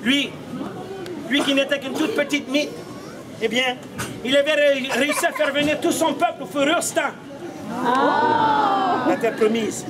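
A man's voice: extremely high pitch, unhurried, long pauses. A man in his forties with a Québécois accent, telling comic stories theatrically to a street audience. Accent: Québécois French. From Quebec, Canada. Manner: theatrical, projecting to a crowd. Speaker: street puppeteer and comedian. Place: outdoors in a town street. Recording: noisy open street, loud crowd.

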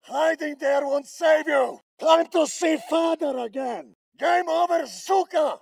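An actor playing a brutal, threatening Russian soldier in a shooter game, with a Russian accent. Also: male voice, very high voice, slow pace, creaky, no room sound, raised voice, clean studio sound, full character voice. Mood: enraged, taunting. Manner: threatening, taunting. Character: brutal, menacing Russian soldier hunting a child. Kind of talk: combat voice lines for a shooter game.

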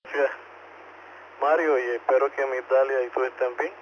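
A man's voice: medium pitch, steady tone, moderate pace. A courteous man with a Puerto Rican accent running a check-in net as controller over two-way radio. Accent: Puerto Rican Spanish. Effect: two-way radio transmission. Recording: muffled ham radio transmission with clear static.

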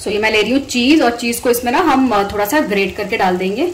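Higher-pitched chatty Indian woman. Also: animated, fast. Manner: chatty, conversational, mixes in English words. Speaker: home cooking vlogger and host. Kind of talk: casual cooking voice-over. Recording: voice-over with clear sizzling kitchen noise.

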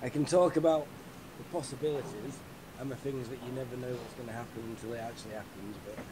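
A man with a British accent, worn out and losing his voice, chatting haltingly on a video call and often clearing his throat. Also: mid-range voice, steady tone, noisy background. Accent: British English. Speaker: keynote speaker. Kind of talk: casual pre-talk chat on a video call.

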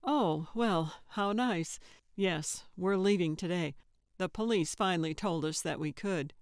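A mild, reluctant father, read by a woman narrator in an audiobook. He sounds polite and plainspoken, in a smooth voice with a dry, close sound. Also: lowish voice, measured pace, dry recording, close to an everyday voice. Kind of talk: audiobook character voice.

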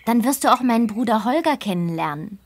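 A woman's voice: a little high, animated, brisk, a little hiss or hum. A woman voicing a friendly, upbeat teenage farm girl in a video game. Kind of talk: scripted video game dialogue.

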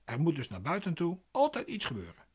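A man's voice: fairly high pitch, huge pitch swings, fairly quick, a little mellow, husky, heavily acted. An actor playing a glib, pedantic man explaining legal procedure in a radio play, insistent and didactic. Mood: persuasive, pedantic.

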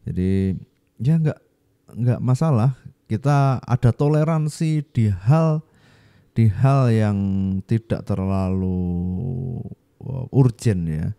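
A man hosts a casual reaction video. His voice is very mellow and slow, recorded close and dry on a studio mic. He hesitates often as he looks for the right word. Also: lowish voice, expressive, gravelly, dry recording. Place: small home studio indoors. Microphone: close boom-arm microphone, headphones.

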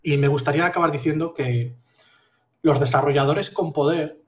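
A man in his thirties explaining his project on a video call from a home office, measured and full of fillers. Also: on the high side, fairly even intonation, dull audio. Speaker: guest project founder. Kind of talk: casual video call interview.

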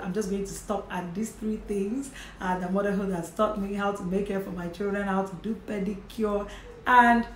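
A Nigerian woman in her thirties talks straight to camera from a home office in a chatty, earnest manner. Her voice sits at an ordinary pitch and echoes off the room, with a faint hiss underneath. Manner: chatty and earnest, with light laughs. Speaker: vlogger, mother. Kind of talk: casual talk to camera. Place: indoor home office with bookshelf and curtains. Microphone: camera in front at desk distance.

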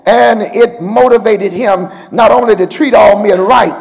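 An older man with a gravelly voice, preaching an impassioned, emphatic sermon and calling on his congregation. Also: sits high, measured pace. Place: large church sanctuary, at a pulpit. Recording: church hall with long reverb.

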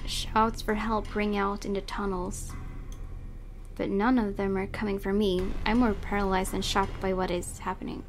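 A woman's voice: mid-range voice, level tone, normal speed, soft background music. A young woman playing a game, reading its text aloud and reacting casually with giggles and exclamations. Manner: giggly, exclamatory, self-correcting. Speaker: let's player.

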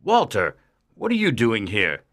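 A woman's voice: rumbling low, sing-song, measured pace, pitched below the performer's own voice, exaggerated voice. A woman voicing a secretive alien man in disguise, reading character dialogue from a story. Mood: startled, nervous. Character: disguised male alien, secretive, startled, from distant planet.

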